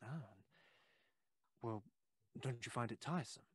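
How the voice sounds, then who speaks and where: A male actor playing a courteous, inquisitive Victorian gentleman in an audio drama, hesitant and effusive with old-fashioned phrasing. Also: mid-range voice, unhurried, slightly rough, dry recording, clean studio sound. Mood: chatty, apologetic.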